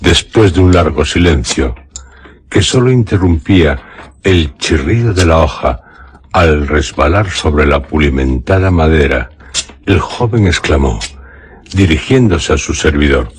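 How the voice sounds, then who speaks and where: A man's voice: extremely low pitch, lively intonation, unhurried, breathy edge. A man with a Castilian Spanish accent solemnly narrating a scripted suspense story for radio theatre.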